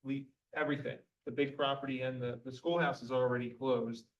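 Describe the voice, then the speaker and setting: A man giving the manager's report at a township board meeting in an even voice, with many ums and uhs. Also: medium pitch, a little fast, recorded from a distance, lo-fi sound. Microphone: table microphone.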